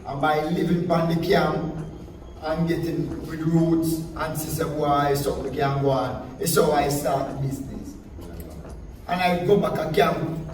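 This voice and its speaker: A man, a Rastafarian priest giving an emphatic firsthand account, asking rhetorical questions as he tells it. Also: on the high side. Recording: echoey, distant mic with noise.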